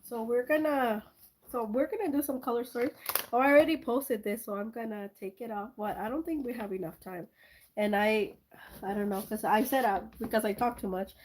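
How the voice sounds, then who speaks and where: Mellow-voiced woman chatting while painting. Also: fairly high pitch, brisk, a little hiss or hum. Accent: slight German accent. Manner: rambling, many fillers and restarts, chuckles. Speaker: art channel host. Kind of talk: casual rambling chat while painting.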